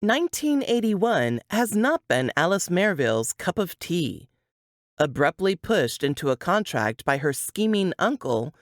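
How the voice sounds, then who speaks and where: Woman, book narrator, lively varied pitch, dry close studio sound, scripted reading. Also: no echo. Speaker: audiobook-style reader.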